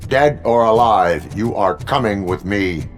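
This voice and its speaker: An actor voicing a stoic, righteous cyborg police officer in a video game, terse, measured and deadpan. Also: male voice, on the low side, shouting, soft background music, close to an everyday voice. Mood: resolute. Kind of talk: dramatic video game cutscene acting.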